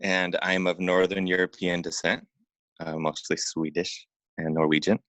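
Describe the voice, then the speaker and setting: A man with a low-pitched voice shares personal stories as an interview guest. He talks informally and haltingly, often repeating words and adding "you know." The sound is dry, with very little room around it. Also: flat delivery, a bit bright, no room sound. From Oregon, United States. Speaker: interview guest, acupuncturist and massage therapist. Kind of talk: casual personal storytelling in an interview.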